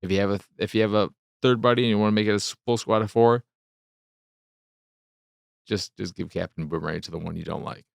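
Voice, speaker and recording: A man's voice: fairly low pitch, fairly even intonation, brisk, gravelly, pauses often, no room sound, studio quality. A man hosting a game review show, talking off the cuff in a rambling, casual way, repeating phrases for emphasis and sometimes swearing.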